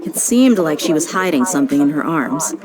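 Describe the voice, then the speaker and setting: A woman's voice: low voice, lively intonation, a little fast. A woman voicing a practical, protective female guard in a video game, speaking firmly. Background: game music.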